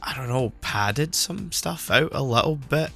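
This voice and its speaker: A man's voice: medium pitch, expressive, unhurried, vocal fry, pushed and bright, soft background music. A man co-hosting a casual podcast whose voice is still recovering after he lost it, rambling with many fillers and restarts while he records remotely on a new microphone. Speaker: podcast co-host. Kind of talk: casual chat.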